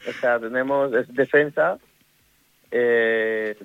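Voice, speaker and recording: Halting Ecuadorian man on a phone line. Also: medium pitch, steady tone, clean tone, no echo. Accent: Ecuadorian Spanish. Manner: halting, many restarts and fillers. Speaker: women's youth football coach. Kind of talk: casual radio interview.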